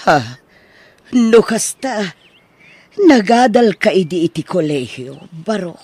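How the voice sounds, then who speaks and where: A man voicing a fretful, protective village mother in a radio drama, with a husky voice. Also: high-pitched, big pitch swings, takes it slowly, a little mellow, no room sound, full character voice. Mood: worried, startled.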